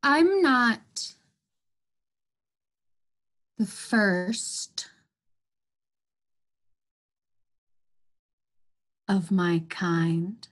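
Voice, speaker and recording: A woman playing a boastful, menacing virus in a theatrical, drawn-out stage monologue. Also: mid-range voice, sing-song, heavily acted. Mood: gloating. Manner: theatrical, drawn-out, gloating, swinging from outbursts to hushed menace. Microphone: computer microphone, close webcam framing.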